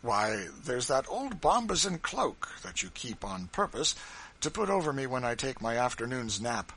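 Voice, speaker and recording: Man reading a musing, soft-hearted husband, bright voice. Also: medium pitch, very animated, moderate pace, a little hiss or hum, voice pushed up. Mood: musing, tentative.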